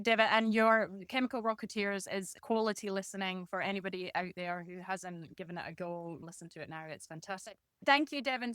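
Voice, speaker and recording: A woman's voice: medium pitch, fairly bright tone, smooth, dry recording. A woman hosting a casual podcast interview in an encouraging, affirming manner. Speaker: podcast host.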